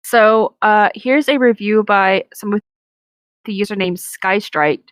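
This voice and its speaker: A woman with a mid-pitched voice reads aloud casually, in an informal manner. She trips over the odd word and corrects herself. The sound is dry, with very little room in it. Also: measured pace, dry recording. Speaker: co-host reading reader reviews aloud. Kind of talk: casual reading aloud with reactions.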